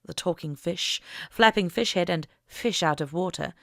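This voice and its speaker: A woman's voice: lowish voice, normal speed, creaky, fairly bright tone, dry recording, clean studio sound. A woman reading a scripted story aloud as an audiobook narrator.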